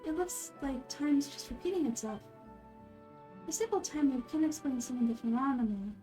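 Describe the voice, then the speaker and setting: A woman voicing a gentle, wise little girl deity from a video game, speaking in a calm, measured, explanatory way. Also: sits high, soft background music. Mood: gently firm. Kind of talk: video game character dubbing.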